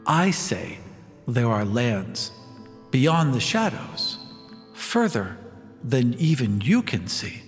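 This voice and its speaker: Guy doing a diplomatic druid lady, slow broken short phrases, careful, music behind. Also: medium pitch, big pitch swings, long reverb tail. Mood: coaxing. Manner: halting, simple short phrases, placating. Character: cautious, diplomatic female druid speaking simple broken language.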